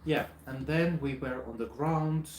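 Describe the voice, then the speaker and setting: Armenian man retelling an attack, echoey room. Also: on the high side. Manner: halting, many uh fillers, animated retelling. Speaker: host. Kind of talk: live video chat. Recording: echoey room with faint noise.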